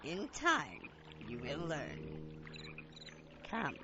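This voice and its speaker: Eccentric male wizard mentor, creaky, wildly varying pitch, drawn-out delivery, muffled amateur sound under music. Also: wildly swooping pitch, heavily acted. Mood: reassuring. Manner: drawn-out, swooping theatrical delivery. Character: eccentric wizard mentor, kindly yet sly, knowing. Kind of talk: hobby fantasy audio drama acting. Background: loud music.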